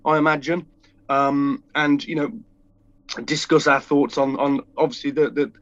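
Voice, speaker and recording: A man's voice: a little high, level tone, a little slow, no echo, dull audio. A man co-hosting a casual podcast with a cold, a bunged-up voice, rambling and restarting his sentences. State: congested with a cold. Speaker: podcast co-host. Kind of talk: casual chat.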